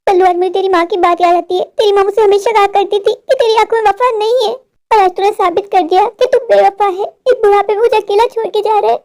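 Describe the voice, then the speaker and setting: A man's voice: very high-pitched, steady tone, quick pace, darkish tone. A voice actor playing a worried, self-pitying older father in a cartoon who reproaches his son. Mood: reproachful.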